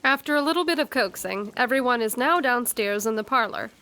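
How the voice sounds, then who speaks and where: A woman's voice: a little high, expressive, a little fast, sharp tone. A woman playing a wry, chatty narrator in a murder mystery audio drama, with playful asides. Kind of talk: playful, conversational story narration.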